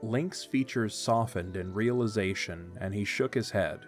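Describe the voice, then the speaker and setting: A man narrating an audio drama in a calm, measured storytelling manner. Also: lowish voice. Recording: soft music behind.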